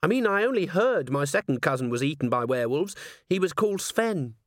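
A man's voice: on the high side, big pitch swings, a little fast, dry recording, clean studio sound, voice pushed up. A man voicing a shy, nervous young female dwarf constable who is awkwardly polite and stammers hesitantly in a storybook reading. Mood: uneasy. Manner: hesitant, stammering, fumbling over words.

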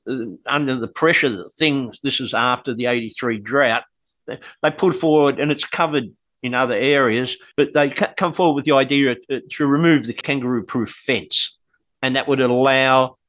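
A man's voice: mid-range voice, a bit bright, no echo. An Australian man co-hosting a casual radio chat, rambling and joking as he talks. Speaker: co-host.

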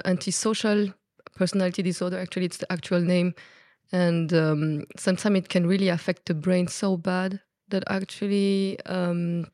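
A woman's voice: fairly low pitch, a little mellow, dry recording, studio quality. A woman from Belgium, a native French speaker with a Belgian French accent, explaining things candidly as a podcast guest in casual conversation.

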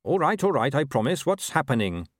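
A man's voice: mid-range voice, sing-song, fairly quick, dry recording, clean studio sound, voice pushed up. A man playing an earnest, bewildered young man who asks brief, puzzled questions in a storybook reading.

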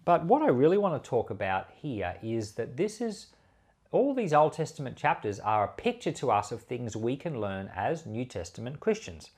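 Male pastor, scripture reading and commentary, mid-range voice, animated intonation, emphatic and measured. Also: mid-range voice, breathy edge. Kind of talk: Bible reading with devotional commentary.

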